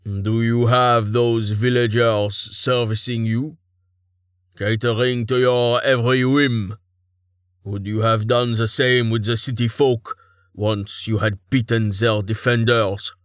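A man's voice: mid-range voice, little pitch movement, slow, clean tone, no echo, clean studio sound, close to an everyday voice. A man voicing a stern, contemptuous god in an audiobook, commanding and patronizing in manner. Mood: scornful. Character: stern, contemptuous male god, imperious and disdainful. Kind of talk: audiobook character acting.

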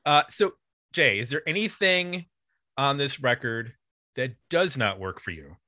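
Bright, forceful male podcast host. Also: medium pitch, very animated, a little slow, no room sound, studio quality. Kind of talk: casual album discussion.

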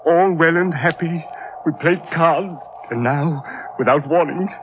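An actor playing a distraught, horror-struck English gentleman, faltering in old-time radio drama style. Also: a little high, unhurried, lightly acted. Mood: shocked, breathless. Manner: agitated, faltering, melodramatic. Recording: muffled vintage radio recording, faint noise.

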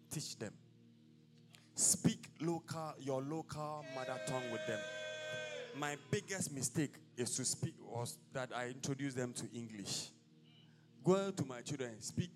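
Ghanaian preacher with a declamatory delivery. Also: male voice, mid-range voice. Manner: declamatory, prays in rising bursts then drops to casual asides. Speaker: apostle preaching. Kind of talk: sermon. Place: church service.

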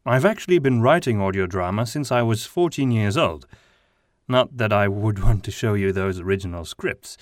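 A man whose first language is Flemish, hosting a podcast and talking about his writing with many pauses and ums. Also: on the low side, lively intonation, no echo, studio quality. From Flanders. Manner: hesitant, many ums and restarts. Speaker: podcast host and audio drama writer. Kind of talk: casual monologue, then reading his own article.

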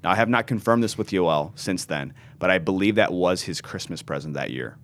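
A man's voice: sits low, lively intonation, brisk, a bit bright. A man in his thirties, a pastor, telling his story earnestly in an interview and often restarting his words. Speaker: pastor and ministry founder.

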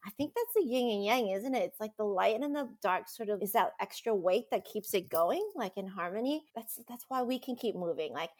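A woman's voice: mid-range voice, lively intonation, brisk, hardly any pauses, a little mellow. A woman chatting casually as a podcast guest, rambling enthusiastically and laughing often.

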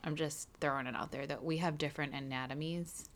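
A woman's voice: low-pitched, fairly even intonation, brisk, fairly bright tone. A woman co-hosting a podcast in casual chat, teasing and joking. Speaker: podcast co-host.

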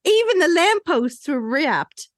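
A woman's voice: high-pitched, very animated, slow pace, no room sound, clean studio sound. A woman co-hosting a podcast, casually reading a novel aloud in a playful way. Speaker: podcast co-host.

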